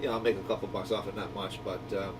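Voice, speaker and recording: A man's voice: level tone, rapid-fire. A man giving a casual, rambling product review in a conversational, enthusiastic way, like a shop owner talking to viewers. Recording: distant mic, noisy low rumbling hum.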